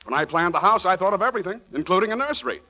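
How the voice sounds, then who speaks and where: A prim, self-assured fiancé in 1940s radio comedy style. He talks fast and precisely in a fairly high, crisp-edged bright voice, sounding pompous and fussy. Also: sing-song, heavily acted. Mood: smug.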